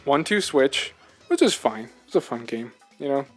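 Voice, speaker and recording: A man's voice: mid-range voice, expressive, normal speed, faint music behind. A man co-hosting a casual video game discussion, talking in an earnest, emphatic way and often asking rhetorical questions. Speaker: podcast co-host.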